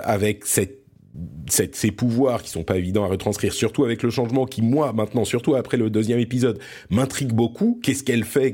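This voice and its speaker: A man's voice: lowish voice, a little mellow. A man from France hosting a podcast, chatting casually about pop culture and often searching for words as he restarts his sentences. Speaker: podcast host.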